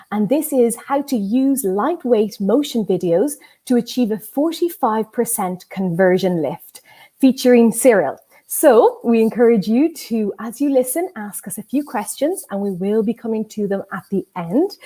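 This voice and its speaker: A young woman opens a conference session as its host, speaking over a video call through an earphone mic. Her voice is a little high and soft in tone, and her delivery is smooth and welcoming. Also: animated, measured pace. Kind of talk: scripted event introduction. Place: indoor room, on video call.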